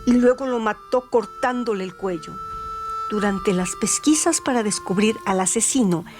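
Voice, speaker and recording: A woman reading a scripted crime story as a radio narrator, with deliberate, dramatic phrasing. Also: medium pitch. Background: music.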